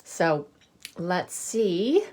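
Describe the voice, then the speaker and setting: Woman, teacher read-aloud, lower-pitched voice, unhurried pace, expressive storytelling, explanatory asides for young listeners. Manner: explains words and checks in with simple questions. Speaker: teacher reading a picture book aloud to children.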